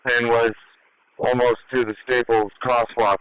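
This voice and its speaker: A man's voice: mid-range voice, level tone, unhurried, fairly bright tone, smooth, no room sound, poor audio. A man talking as a police officer in radio traffic, heard over a two-way radio.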